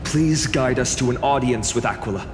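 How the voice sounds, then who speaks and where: A man's voice: medium pitch, normal speed, noisy background, close to an everyday voice. A man voicing an earnest, courteous hero in a fantasy game, formal and composed. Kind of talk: scripted fantasy game dialogue. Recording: long hall reverb, music behind.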